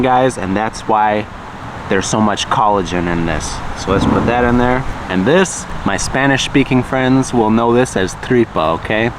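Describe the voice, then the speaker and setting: An American man with a fairly low voice talks slowly and plainly. He pauses between bites of food and stops to explain words for learners. Traffic and street noise hum steadily behind him. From United States. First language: English. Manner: slow, deliberate, explains words as he goes. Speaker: language teacher and vlogger. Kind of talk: slow, clear English lesson vlog.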